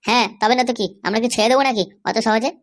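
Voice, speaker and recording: A woman's voice: on the high side, fairly even intonation, racing, close to an everyday voice. A woman voicing a boastful, defiant schemer in a comedy cartoon, full of confident threats. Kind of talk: exaggerated cartoon dialogue.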